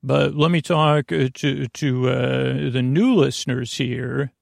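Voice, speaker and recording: A man hosting a sleep podcast in a creaky voice, meandering and halting through mumbled word fragments and tangents to lull listeners to sleep. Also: medium pitch, no room sound, studio quality. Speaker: podcast host. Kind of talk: rambling bedtime monologue meant to lull listeners to sleep.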